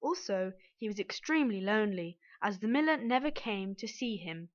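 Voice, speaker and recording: A woman's voice: mid-range voice, lively intonation, moderate pace, highs cut off. A woman reading a scripted fairy tale aloud as the storyteller.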